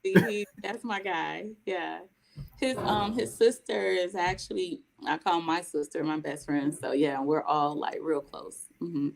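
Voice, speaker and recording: A woman's voice: fairly low pitch, fairly even intonation, a little slow, vocal fry, a little hiss or hum, rough recording. A young adult woman from Mississippi, raised in North Carolina, chatting as a guest and telling long stories with frequent laughs. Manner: chatty, laughs often, long storytelling answers. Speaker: guest, spoken word poet. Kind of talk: casual interview chat.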